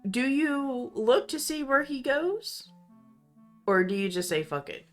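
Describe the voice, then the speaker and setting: A woman's voice: high voice, expressive, slow, soft background music. A woman narrating an improvised tabletop roleplaying scene as game master.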